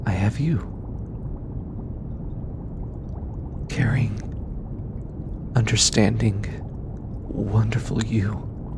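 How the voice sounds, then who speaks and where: Intimate audio drama: affectionate alien scientist, male actor, earnest and hesitant, unhurried, over clear background rumble. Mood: tender, grateful. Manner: tender, hesitant, softly formal speech. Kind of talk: intimate roleplay audio acting.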